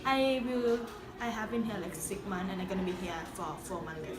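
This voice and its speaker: A woman's voice: medium pitch, animated, moderate pace. A teenage exchange student from Thailand, a woman who speaks English with a Thai accent as her second language and thoughtfully searches for words. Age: teens. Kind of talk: casual interview answers. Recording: echoey room, distant mic.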